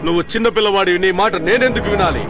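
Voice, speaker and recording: A man's voice: high-pitched, racing, close to an everyday voice. A man voicing a stubborn, dismissive adult in a dubbed cartoon, defiant and condescending. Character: stubborn, dismissive adult digger chasing a great prize. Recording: music behind the voice.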